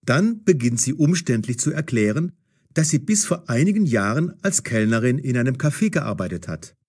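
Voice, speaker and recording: A man narrating a scripted audio story as storyteller, with a husky voice. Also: mid-range voice, sing-song, normal speed, darkish tone.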